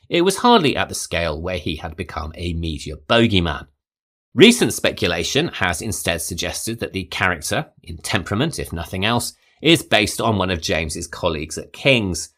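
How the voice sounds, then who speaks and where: A man with a very low yet bright-edged voice delivers scripted film commentary. His delivery is measured and articulate, with a lively rise and fall in pitch that lends emphasis to each point. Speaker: podcast host.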